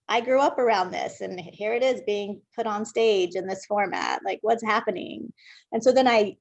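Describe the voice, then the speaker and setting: A woman's voice: mid-range voice, creaky, darkish tone, dry recording. A woman with an American accent talking reflectively as an interview guest, thoughtful and stressing her key words. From Southern California, United States. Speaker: interviewed guest, dance artist and educator. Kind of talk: conversational interview, reflective explaining.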